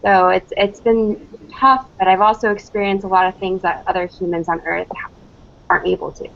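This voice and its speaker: A woman's voice: medium pitch, fairly even intonation, brisk, vocal fry. A woman in her early twenties chatting casually as a caller on a talk show. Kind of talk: casual phone-in chat. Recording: dull, lo-fi internet call.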